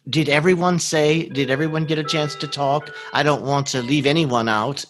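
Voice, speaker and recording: A man's voice: on the high side, steady tone, normal speed, a bit bright, soft background music. A man moderating a book group in casual conversation, talking in a rambling, reassuring way.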